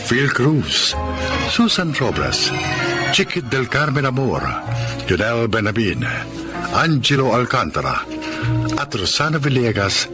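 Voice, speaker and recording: A man's voice: medium pitch, very animated, slow, long pauses. A man with a Filipino accent reading scripted radio drama narration and credits as the announcer. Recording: loud music under voice. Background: loud music.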